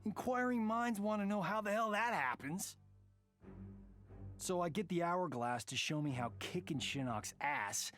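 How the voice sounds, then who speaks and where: An actor playing a boastful, wisecracking middle-aged Hollywood action star with an American accent in a video game monologue. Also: male voice, high voice, lively intonation, a little slow. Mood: smug. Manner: wisecracking, self-satisfied showman. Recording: loud music under the voice.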